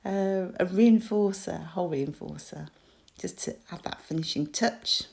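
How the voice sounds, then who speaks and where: British woman, forties, low croaky voice, chatty and self-deprecating, crafting voice-over. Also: a little slow, darkish tone. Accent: British English. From United Kingdom. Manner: chatty, self-deprecating, with frequent ums and small laughs. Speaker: crafter and shop designer.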